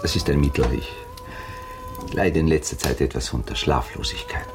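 Brooding, deep-voiced, swooping baron. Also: male voice, huge pitch swings, moderate pace, music in the background, heavily acted. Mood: guarded courtesy. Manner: aloof, formal, resigned. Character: weary, formal aristocratic baron and former officer. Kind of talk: radio play acting.

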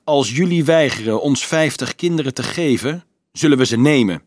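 A man's voice: medium pitch, animated, moderate pace, no room sound, studio quality, voice pushed up, natural voice. A man playing a snarling, jeering mounted raider chief. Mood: scornful, threatening. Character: cruel, scornful armed horseman leader making threats. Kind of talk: audiobook character voice.

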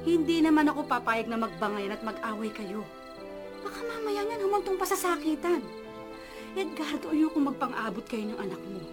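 A woman's voice: sits high, sing-song, normal speed, loud music behind the voice. An actress playing a worried, protective mother who pleads emotionally for her son in a radio drama. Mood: anxious pleading.

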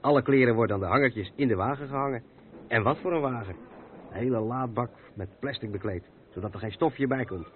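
An actor the playing a seasoned, patient truck driver who explains things matter-of-factly in a radio play. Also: male voice, mid-range voice, brisk, close to an everyday voice. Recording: tinny, noisy old radio recording.

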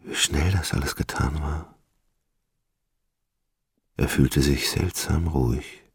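A man narrating a literary radio play in a deliberate, suspenseful, dramatic style. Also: a bass voice, steady tone, slightly rough, dry recording. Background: music.

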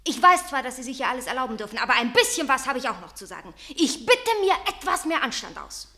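High-voiced, haughty leading lady. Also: very animated, fast talker, pushed and bright, heavily acted. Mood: indignant. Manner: haughty, sharply articulated. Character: proud, headstrong leading actress with a temper. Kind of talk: theatrical radio drama acting.

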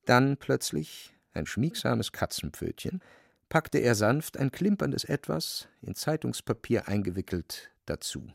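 A gravelly male narrator of middling pitch, recorded close and dry. He reads literary prose for a radio drama in a scripted storytelling style. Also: big pitch swings, measured pace, dry recording. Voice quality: creaky, dry.

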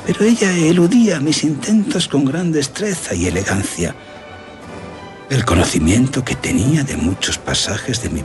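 Courtly old general, animated delivery over music. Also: male voice, mid-range voice. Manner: formal, measured, theatrical. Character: aging aristocratic general recounting a haunting memory, courtly, insistent. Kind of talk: Spanish radio drama, literary narration and dialogue. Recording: radio drama with music underneath.

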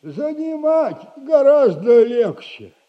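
An actor playing a sly, sardonic old retired soldier who lends money, in a 1960s radio play. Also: male voice, very high-pitched, sing-song, lo-fi sound, heavily acted. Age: older. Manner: slow, teasing, sardonic advice.

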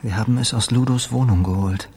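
An actor in a German radio drama playing a guilt-ridden, devout and unhinged musician who speaks in a halting, repetitive murmur. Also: male voice, mid-range voice, warm tone, husky. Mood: haunted, remorseful. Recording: roomy space with long reverb.

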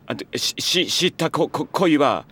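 A man playing a put-upon high-school boy, a dry, grumbling straight man in an anime comedy audio drama. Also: expressive, a little fast, fairly bright tone, husky. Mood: flustered.

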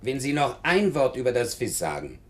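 Actor as irritable, expressive newspaper boss. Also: male voice, mid-range voice, moderate pace, lightly acted. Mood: threatening. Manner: curt, exasperated, commanding. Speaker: actor in a radio play. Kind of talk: 1950s German radio drama acting.

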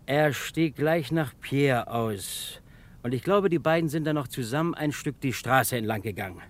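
An actor playing a talkative, punctual bus conductor in a German radio drama, precise and sure of himself. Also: male voice, mid-range voice, expressive, normal speed, natural voice. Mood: confident, precise. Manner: talkative, precise, matter-of-fact.

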